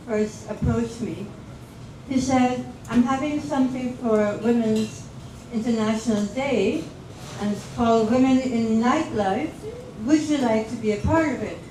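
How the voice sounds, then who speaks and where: Woman from Guyana, storyteller, slightly high voice, echoey room, noisy mic. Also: measured pace, mellow. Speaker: guest speaker. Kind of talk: personal story at a livestream event. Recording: echoey, distant, noisy livestream audio.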